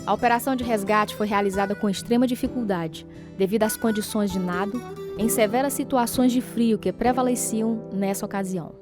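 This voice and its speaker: Gentle Brazilian wife played by a woman, guitar underneath. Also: mid-range voice, fairly quick, natural voice. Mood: proud, narrating. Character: devoted, supportive wife of a boxer, young mother. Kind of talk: radio drama acting with scripted narration.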